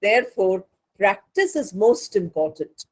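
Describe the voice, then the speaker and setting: A woman's voice: mid-range voice, very animated, a little slow. A woman with an Indian accent and Bengali as her native language, giving an instructional lecture as a spoken English teacher, didactic and repeating points for emphasis.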